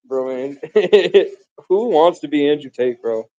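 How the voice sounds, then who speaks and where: A man's voice: fairly high pitch, lively intonation, slow, mellow, no echo, highs cut off. Rambling grandiose man streaming live. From Bronx, raised in Vermont. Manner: grandiose, meandering, casual slang. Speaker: livestreamer.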